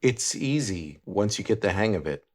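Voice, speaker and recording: A man playing a laid-back, out-of-work cartoon star who drives rideshares, talking calmly and matter-of-factly in short low-key replies. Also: normal speed, natural voice. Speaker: rideshare driver in an animated series.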